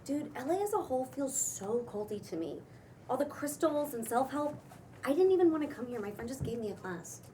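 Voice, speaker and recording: A woman's voice: sits high, very animated, warm tone. A woman acting an awkward, hesitant, dryly skeptical character, stammering and slangy, in a cold read of a comedy script. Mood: dry, casual. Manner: halting, stammering, casual and slangy. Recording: distant room mic, faint background noise.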